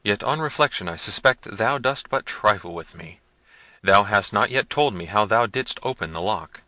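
A man's voice: lowish voice, expressive, normal speed, sharp tone, dry recording. A man voicing a hot-tempered, commanding prince in a gothic novel reading, barking impatient questions and orders. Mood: suspicious.

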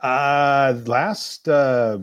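A man's voice: mid-range voice, fairly even intonation, very slow, clean tone. A man, an actor guest, talking easygoing and self-deprecating on a radio show through a headset mic. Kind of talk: casual interview. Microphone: headset mic over a video call.

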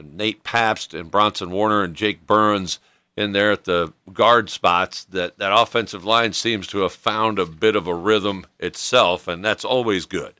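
A man hosts a sports radio show in a low, crisp voice. His delivery is conversational and forward, with a bright edge. The sound is close and dry. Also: no room sound. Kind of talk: conversational sports interview and commentary.

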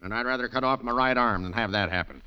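A man's voice: medium pitch, fast talker, clear, resonant tone, close to an everyday voice. A man playing an angry, scornful middle-aged father in old radio drama, blustering and sarcastic. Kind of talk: 1940s radio acting. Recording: dull old radio broadcast recording.

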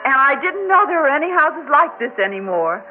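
An actress playing a high-strung woman of a family, with dramatic exclamations, in a 1960s radio drama. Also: very animated, brisk, slight background noise. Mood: excited. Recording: tinny old radio recording, music behind.

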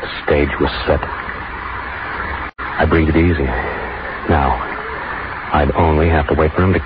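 An actor playing an anxious, lovestruck man plotting a crime, in tense, halting 1940s radio thriller style. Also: very deep voice, steady tone. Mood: brooding suspense. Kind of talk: 1940s radio thriller acting, first-person narration. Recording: noisy, muffled 1940s radio recording.

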